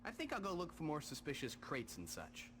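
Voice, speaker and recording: A young American man playing a goofy, joking sidekick in a video game, animated and excitable. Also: a little high, fairly quick, background noise. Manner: jokey, animated. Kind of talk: video game cutscene acting. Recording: music under the voice.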